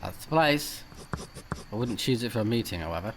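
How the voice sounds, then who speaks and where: A man's voice: lowish voice, lively intonation, brisk, slight background noise, poor audio. A man hosting a let's play, mixing playful, reactive commentary with reading the game's text aloud.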